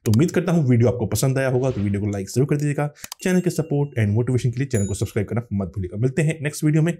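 A man's voice: medium pitch, expressive, very fast, warm tone. A man hosting a tech channel, explaining phone features step by step in tutorial commentary.

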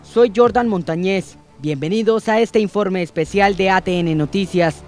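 High-voiced male news reporter. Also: darkish tone, faint music behind. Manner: formal, measured delivery.

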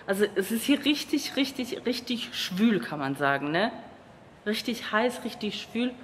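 A woman's voice: mid-range voice, sing-song, measured pace. Woman in her thirties, casual travel vlog, handheld camera at arm's length, rambling, worn out by the heat, faint background hum. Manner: chatty, rambling, frequent "genau" and "ne?" tag questions. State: tired and sweaty from humid heat. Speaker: travel vlogger. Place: hotel lobby and street outdoors in the tropics.

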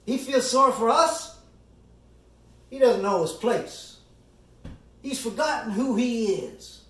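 A man performing a stage monologue as an earnest, impassioned middle-aged defence lawyer, speaking deliberately with dramatic pauses and emphatic oratory. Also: sits high. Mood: indignant. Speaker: amateur actor performing a monologue. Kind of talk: theatrical courtroom summation monologue. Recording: roomy echo, distant microphone.

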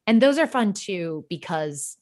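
A woman's voice: mid-range voice, big pitch swings, unhurried. A woman guest on a podcast, chatting casually with emphatic, effusive phrasing and lots of "like". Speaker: podcast guest, writer and fiction podcast creator. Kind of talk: casual chat.

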